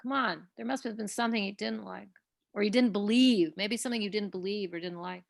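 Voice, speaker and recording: A woman's voice: mid-range voice, brisk, vocal fry, no room sound, clean studio sound. A woman playwright leading a casual discussion over a video call, eager and encouraging, often restarting her sentences. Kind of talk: casual online talkback.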